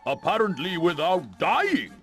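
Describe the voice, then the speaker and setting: Pompous chief, video game cutscene, deadpan asides, very animated delivery, music behind. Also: male voice, a little high, slow, heavily acted. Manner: grand announcements undercut by deadpan asides.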